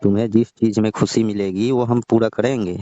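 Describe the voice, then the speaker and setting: A middle-aged father-in-law, played by a man in a phone-call drama. His voice is low and very dry, with no room around it. He coaxes and reassures, anxious over family honour. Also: steady tone, fairly quick, warm tone, no echo, lightly acted. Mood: reassuring, coaxing. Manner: coaxing, reassuring. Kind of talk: staged phone-call drama in colloquial speech. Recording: dull, lo-fi dry call audio.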